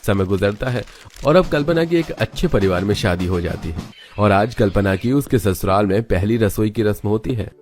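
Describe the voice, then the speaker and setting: Male story narrator for a cartoon tale, low register, scripted delivery. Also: normal speed, a little mellow.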